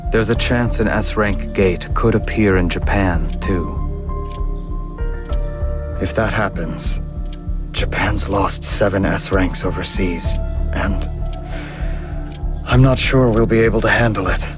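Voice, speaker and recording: Very slow, wistful male guild leader. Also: lowish voice, music in the background. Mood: worried. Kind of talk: anime game cutscene acting.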